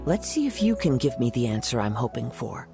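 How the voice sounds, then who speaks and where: An actor playing a calm young wandering samurai in a game, measured and poetic in his delivery. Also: mid-range voice, vocal fry. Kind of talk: video game cutscene dialogue. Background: game music.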